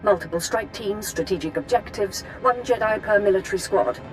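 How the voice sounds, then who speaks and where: A woman's voice: mid-range voice, brisk, soft background music, lightly acted, poor audio. A woman playing a ruthless female military commander in a video game, giving clipped, menacing orders. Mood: commanding. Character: ruthless female Sith commander directing a war. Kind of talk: dramatic video game voice acting.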